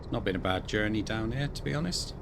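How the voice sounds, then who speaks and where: A man in his fifties talks through a driving game in a relaxed, thinking-aloud way, now and then muttering at it. His voice sits fairly low. A heavy wash of truck engine and road noise runs under everything he says. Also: fast, gravelly. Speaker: gaming channel host. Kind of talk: laid-back gameplay commentary. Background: driving game truck sounds.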